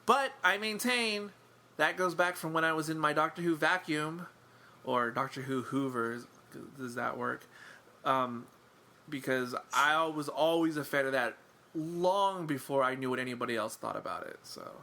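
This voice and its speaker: A man's voice: on the high side, normal speed, bright, forceful tone. A man chatting casually as a podcast guest, wry and self-deprecating, rambling with lots of fillers. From England.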